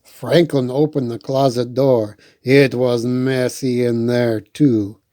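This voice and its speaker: Slow, easygoing storyteller with a mellow voice. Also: mid-range voice, fairly even intonation. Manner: playful, rambling asides. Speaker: storytime host reading a picture book aloud. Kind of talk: storybook read-aloud with chatty asides.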